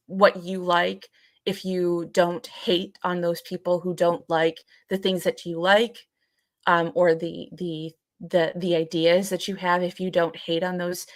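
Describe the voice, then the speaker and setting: A woman co-hosting a podcast in casual discussion, thinking aloud in a hesitant, halting way. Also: fairly low pitch, dry recording, clean studio sound. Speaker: podcast co-host.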